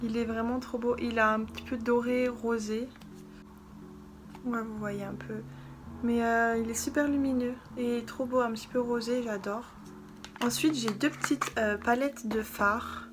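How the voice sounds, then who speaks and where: A woman's voice: fairly high pitch, steady tone, unhurried. A young woman beauty vlogger chatting casually and gushing about products in a haul video. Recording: music playing behind. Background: background music.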